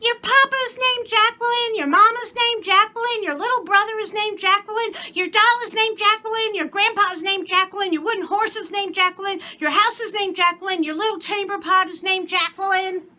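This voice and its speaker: A woman voicing a chatty, eager little girl in a storybook reading, with a childlike, excitable delivery. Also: very high-pitched, moderate pace, hardly any pauses, voice pushed up, heavily acted. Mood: chatty, knowing.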